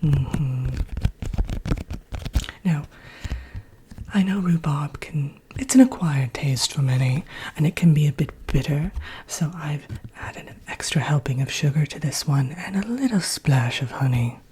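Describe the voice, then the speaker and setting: A woman playing a genteel, drily wicked Evil Queen in a soft, breathy whispered ASMR roleplay, presenting like a workshop teacher. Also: low voice, animated, unhurried, a little hiss or hum, low-quality recording. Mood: drily wicked, instructive. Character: haughty, wicked fairy-tale Evil Queen teaching recipes.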